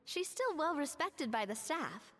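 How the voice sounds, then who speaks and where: A woman voicing a friendly, composed young woman who explains things in a measured way in a video game. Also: high-pitched, big pitch swings, long reverb tail. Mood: explanatory. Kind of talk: anime-style video game voice acting.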